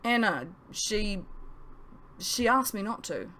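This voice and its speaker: A woman's voice: mid-range voice, sing-song, slow, bright, forceful tone, slight background noise, full character voice. A woman voicing a flustered young male apprentice in an audiobook, awkward, hesitant and stammering. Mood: stammering, apologetic.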